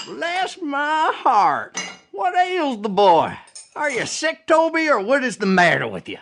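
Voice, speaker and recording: Slow, exclaiming old country uncle. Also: male voice, very high voice, wildly swooping pitch, creaky, fairly bright tone, faint music behind, exaggerated voice. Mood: fussing, scolding. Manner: drawn-out, exclaiming, scolding. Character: stern, fussing old uncle, a country man. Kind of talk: audio drama acting.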